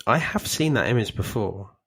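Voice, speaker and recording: A Welsh man co-hosting a podcast, chiming in with quick questions. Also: on the low side, expressive, fairly bright tone, slightly rough. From Wales. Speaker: podcast co-host. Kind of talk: casual chat.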